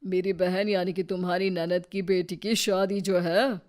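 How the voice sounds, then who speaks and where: A woman's voice: lowish voice, level tone, a little slow, a little mellow, close to an everyday voice. A woman playing a sneering mother-in-law. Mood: scornful. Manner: scornful, scolding. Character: sharp-tongued older mother-in-law, suspicious and taunting. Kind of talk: cartoon voice acting.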